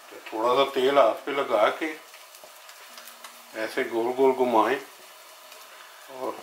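A man's voice: medium pitch, fairly bright tone, a little hiss or hum. Man in a cooking vlog. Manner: unhurried, friendly step-by-step explaining. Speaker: home cook. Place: home kitchen, indoors. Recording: echoey kitchen.

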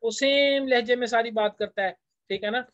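A man giving an informal lecture as a teacher, explaining point by point and slowing down for English phrases. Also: very high voice, fairly bright tone, dry recording. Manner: explanatory, slows down when reading English phrases. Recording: dull, lo-fi close recording.

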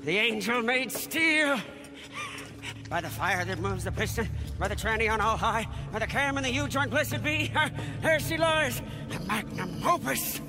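A man's voice: extremely high pitch, animated, normal speed, vocal fry, over music, heavily acted. A man voicing a manic, devout mechanic sidekick in a video game, fawning and repeating himself with feverish preacher-like zeal. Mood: reverent, ecstatic. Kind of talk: video game character acting.

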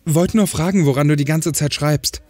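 A man's voice: fairly high pitch, moderate pace, darkish tone, no echo, faint music behind, natural voice. A man playing a teasing, casual friend in a German audio drama.

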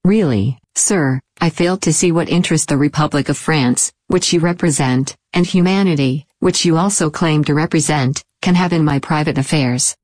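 A man's voice: a little high, moderate pace, darkish tone, no echo, voice pushed up, close to an everyday voice. A man voicing an anxious, haughty upper-class married woman with a formal, frosty manner in a story reading. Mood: icy, offended. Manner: frigid, offended, formal.

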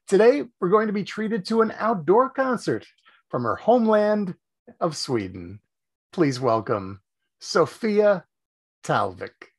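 A man's voice: sits high, big pitch swings, slow pace, slightly rough. An older man in his sixties, hosting a show and reading an upbeat scripted introduction from a home office with bookshelves. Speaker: show host.

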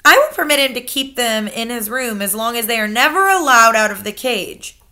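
A woman's voice: fairly high pitch, lively intonation, normal speed, bright, forceful tone. A woman voicing a pompous, bristly male hotel manager who speaks with stiff, indignant formality. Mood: stiffly conceding.

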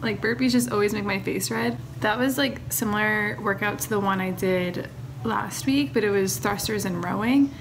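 Young woman talking into her phone in the kitchen, chatty w lots of likes, mid pitch, noisy recording. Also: moderate pace. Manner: chatty, filler-heavy, lots of "like". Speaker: vlogger. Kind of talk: casual vlog chat. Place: kitchen of a family home. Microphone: phone camera held at arm's length.

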